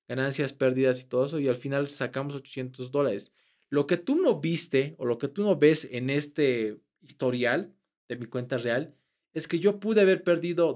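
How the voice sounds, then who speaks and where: A young man with a slightly higher voice teaches trading from his desk. He talks conversationally, often pausing on fillers and restarting his sentences. It sounds like a small indoor room with a desk camera. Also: darkish tone. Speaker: trading instructor. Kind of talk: explaining to camera.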